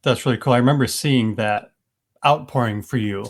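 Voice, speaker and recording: A man's voice: medium pitch, normal speed. A man with a mid-range voice hosting a casual podcast interview. He rambles along in a friendly way, restarting his sentences as he goes. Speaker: podcast host.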